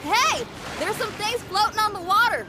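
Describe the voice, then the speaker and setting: Casting: perky girl sidekick for a video game, high-pitched, very animated, full of energy. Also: normal speed, very noisy. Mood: excited, curious. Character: perky, energetic young woman companion.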